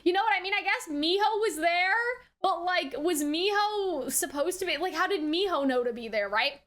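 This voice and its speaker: Young woman rambling through an anime review, super high bright voice, slangy and kinda exasperated. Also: normal speed. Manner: slangy, chatty, peppered with like and rhetorical checks; animated, exasperated teasing of characters. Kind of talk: casual, rambling episode recap and commentary.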